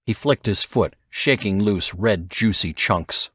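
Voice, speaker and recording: A man's voice: fairly low pitch, slow, fairly bright tone, slightly rough, no room sound, studio quality. A man reading a thriller novel aloud as scripted narration, with dramatic expression. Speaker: audiobook narrator.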